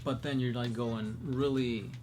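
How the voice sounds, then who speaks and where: A man co-hosting a casual chat, answering fan questions in a relaxed, chatty way. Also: mid-range voice, a little slow. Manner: relaxed, chatty, many fillers. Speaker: podcast co-host.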